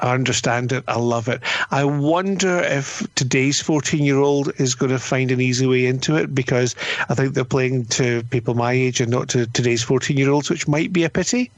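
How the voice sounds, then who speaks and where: A man's voice: mid-range voice, no echo. A man in his fifties giving an enthusiastic, emphatic and conversational review as a radio critic. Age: 50s.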